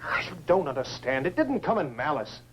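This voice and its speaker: Anguished, pleading astronaut, swooping pitch. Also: male voice, sing-song, a little hiss or hum, poor audio. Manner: impassioned, halting, pleading. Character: anguished astronaut pleading for the alien inside him. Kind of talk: 1950s science fiction film acting.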